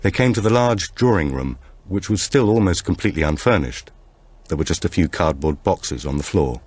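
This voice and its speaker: Man, deep voice, dramatic thriller narration, measured expressive storytelling, animated pitch movement. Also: husky. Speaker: audiobook narrator.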